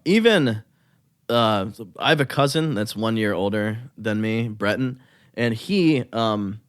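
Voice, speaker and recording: A man's voice: lowish voice, smooth, no room sound, clean studio sound. A young man guesting on a podcast, talking in a loose, rambling way with lots of likes and ums. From United States. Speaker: guest musician. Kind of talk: casual interview chat.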